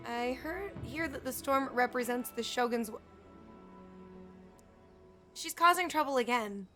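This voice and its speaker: A woman's voice: on the high side, expressive, a little slow, pushed and bright. A woman livestreaming a video game, chatting casually to viewers and reacting as she plays. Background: game music.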